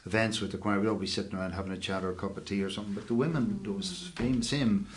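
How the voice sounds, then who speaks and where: Low-voiced man chatting casually. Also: fast. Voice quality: bass voice. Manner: relaxed, rambling, thoughtful with fillers. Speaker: host of online singalong. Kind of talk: casual chat.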